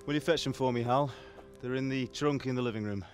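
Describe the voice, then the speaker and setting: An actor doing a plain-spoken blacksmith. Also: male voice, medium pitch, fast talker, faint music behind, close to an everyday voice. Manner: plain, practical. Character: gruff male blacksmith father, hardworking.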